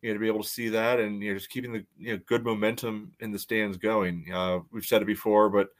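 A man co-hosting a podcast, giving casual sports analysis in a halting way full of verbal filler. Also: fairly low pitch, little pitch movement. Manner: halting, peppered with "you know". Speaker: podcast co-host.

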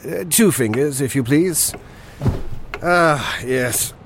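Slow, bluff old sergeant major. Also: male voice, medium pitch, animated, a bit bright, slight background noise. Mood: bluff, genial. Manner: bluff, clipped pleasantries, repeats himself. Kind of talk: audio drama acting.

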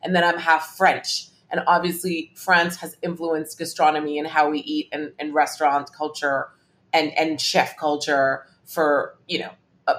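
A woman in her forties from Hong Kong, telling family stories as a podcast guest and often restarting her phrases. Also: low voice. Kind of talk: casual interview chat.

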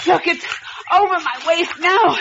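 Very high-pitched, frightened young woman. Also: a little slow, creaky, a loud crowd, full character voice. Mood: despairing. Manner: fearful, pleading. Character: frightened young woman sinking in quicksand, despairing. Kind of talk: 1940s radio melodrama acting. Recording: dull old radio recording, noisy background.

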